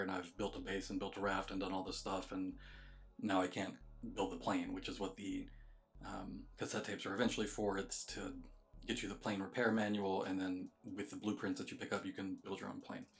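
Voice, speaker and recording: A man's voice: deep voice, flat delivery, brisk, creaky. A man giving a casual, matter-of-fact video game walkthrough, explaining things step by step. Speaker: game guide creator.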